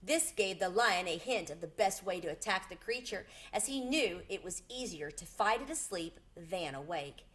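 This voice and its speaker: A woman narrating a children's chapter book aloud in an expressive, deliberate way. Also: fairly low pitch. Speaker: storybook reader.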